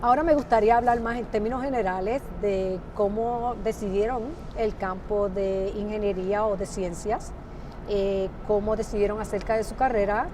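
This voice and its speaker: A woman's voice: mid-range voice, background noise. A woman with a Puerto Rican Spanish accent who moderates a roundtable, asks questions and mixes in a few English words. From Puerto Rico. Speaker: center director, moderator. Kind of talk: roundtable conversation.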